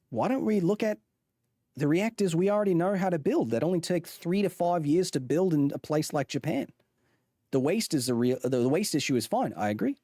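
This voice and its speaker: A man's voice: mid-range voice, dark tone, dry recording, clean studio sound. Man in a mock Aussie accent. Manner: putting on a mock Australian accent; rambling, with frequent restarts and self-corrections. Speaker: streamer. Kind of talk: casual livestream commentary on a chart.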